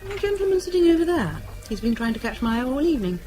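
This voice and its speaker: An actress playing a quick-witted, teasing British wife in a radio drama, arch and composed with a British accent. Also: female voice, high voice, very animated, dark tone.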